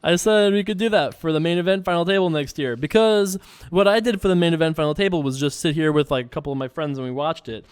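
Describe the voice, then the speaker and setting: A man's voice: on the high side, fast talker, clear, resonant tone. A man with an American accent in casual, rambling chat, hosting a poker show and answering viewer questions in a conversational way. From Long Island, New York, United States. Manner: rambling, conversational, frequent 'you know'.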